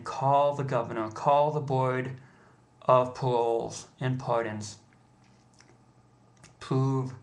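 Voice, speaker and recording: An American man making an impassioned personal appeal, speaking earnestly and pleadingly with halting, deliberate pauses. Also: medium pitch, creaky. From United States.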